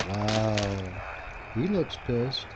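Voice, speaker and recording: An actor playing a stubborn, sardonic filmmaker facing doom in an audio drama. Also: male voice, fairly low pitch, expressive, drawn-out words. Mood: grim, wry. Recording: dull, noisy audio with ambience.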